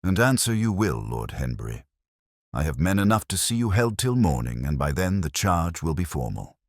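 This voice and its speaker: Man voicing a deep, determined police detective. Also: big pitch swings, moderate pace, no echo, clean studio sound. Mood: stern resolve. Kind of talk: dramatised audiobook mystery.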